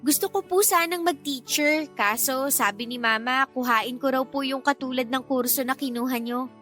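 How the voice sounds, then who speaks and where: Casting: eager high-pitched teen girl, respectful and earnest, radio drama over light music. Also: moderate pace, pushed and bright. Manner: polite, earnest. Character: eager, polite, respectful teenage niece. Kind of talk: Tagalog radio drama acting.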